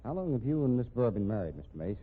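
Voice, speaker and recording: Brisk, hard-nosed police captain. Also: male voice, medium pitch, big pitch swings, husky. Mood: musing. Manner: dry, firm, slangy. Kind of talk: 1950s radio mystery acting. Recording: tinny band-limited old radio recording.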